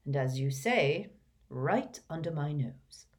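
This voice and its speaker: A woman voicing a gentle, calm old male wizard headmaster who speaks softly and mildly. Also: sits low. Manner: soft, mild, unhurried.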